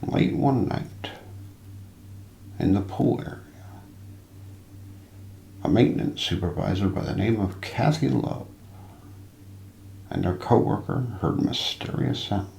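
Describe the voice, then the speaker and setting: A man narrating a scripted mystery documentary as an ASMR role play, in a hushed, deliberate, suspenseful manner. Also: on the low side, creaky. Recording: roomy echo, light background noise.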